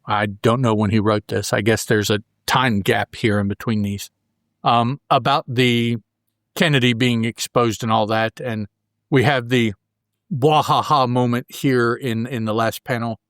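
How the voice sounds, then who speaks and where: A man hosting a podcast, recapping a story casually and haltingly as he thinks aloud. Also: medium pitch, steady tone, a bit bright, dry recording, clean studio sound. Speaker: podcast host. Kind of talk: casual comic book recap.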